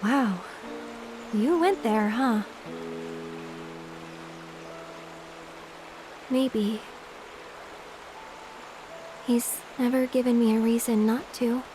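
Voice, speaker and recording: A woman voicing a candid, wistful young woman in a video game, talking in a soft, conversational way. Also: a little high, takes it slowly, pauses often, over music, low-quality recording. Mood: teasing, coy. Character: candid, warm-hearted young woman, wistful and gently teasing. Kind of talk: English-language video game voice acting.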